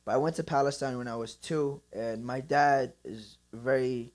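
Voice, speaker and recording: A man's voice: medium pitch, fairly even intonation, normal speed. A young man from Northeast Philadelphia, a fighter, guesting on a studio podcast and chatting easily, calling the host bro and laughing often. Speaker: guest, Muay Thai fighter. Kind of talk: casual interview chat. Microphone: studio mic.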